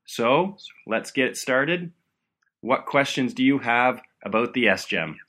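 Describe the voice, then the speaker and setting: A man hosts a medical education podcast with an upbeat, enthusiastic delivery. His voice is bright and forceful, at a middle pitch. He talks into a home podcast microphone. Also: animated, slow. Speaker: podcast host.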